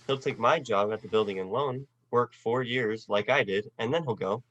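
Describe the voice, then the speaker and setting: A man playing an earnest, restless young man with big dreams in a radio play, speaking haltingly. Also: medium pitch, fairly bright tone, dry recording, muffled recording, natural voice. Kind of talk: 1940s-style radio play acting.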